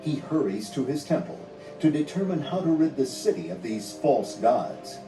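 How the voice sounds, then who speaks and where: A man narrating a scripted storybook read-along in a measured, dramatic storytelling manner. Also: medium pitch, very animated, roomy sound, far from the mic, over music, poor audio.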